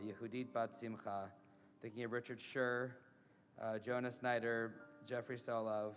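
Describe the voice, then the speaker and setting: A man leading a synagogue service as rabbi, gentle and halting, often repeating his words. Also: medium pitch. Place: synagogue sanctuary. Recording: reverberant sanctuary with music.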